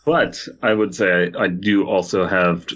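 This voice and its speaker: Man co-host, very low voice, halting and deadpan. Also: muffled recording. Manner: halting, many fillers, deadpan jokes. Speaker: podcast co-host. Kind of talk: casual chat.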